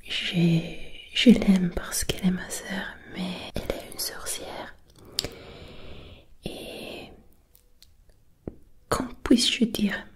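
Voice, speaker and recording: A woman whispering as a kindly, curious good fairy in an ASMR fantasy roleplay, gentle and breathy, with halting, unhurried phrases. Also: medium pitch. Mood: kindly, reassuring.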